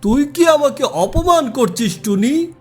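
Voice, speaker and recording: A man's voice: very high-pitched, animated, slow, darkish tone, faint music behind, exaggerated voice. A man voicing a boastful, touchy giant monster in a children's cartoon, full of blustering challenges.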